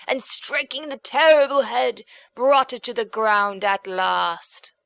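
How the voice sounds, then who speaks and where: A woman reading a folk tale as an audiobook narrator, in a measured, expressive, dramatic storytelling manner. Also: on the high side, dry recording.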